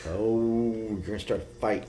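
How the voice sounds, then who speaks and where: A man's voice: lowish voice, fairly even intonation, normal speed, mellow. Blunt man in casual conversation. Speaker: podcast co-host. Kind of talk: casual chat about pop culture.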